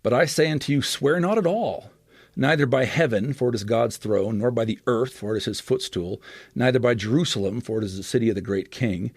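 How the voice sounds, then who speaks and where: A man talks through scripture with an animated, expressive teaching manner, often restarting his phrases. His voice sits in the middle range. The recording is dry and close. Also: mid-range voice, brisk, husky, no echo. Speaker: podcast guest scholar. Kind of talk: explanatory scripture discussion.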